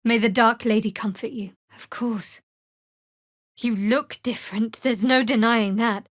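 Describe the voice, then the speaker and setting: An actress playing a guarded, sardonic young cleric of a dark goddess in a video game, with a dry, wry delivery. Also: female voice, on the high side, steady tone, unhurried, dry recording, natural voice. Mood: soft, sincere. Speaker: game character.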